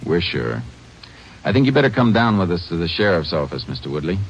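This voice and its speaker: An actor playing a calm, shrewd Texas Ranger who narrates his case in terse, matter-of-fact old-time radio style. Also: sits low, a little fast, slight background noise. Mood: firm. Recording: dull vintage radio recording.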